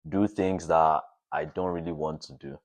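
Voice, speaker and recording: Young guy podcast guest, really low voice, casual, sorta halting as he thinks out loud. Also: fairly even intonation, creaky. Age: young adult. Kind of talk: casual chat.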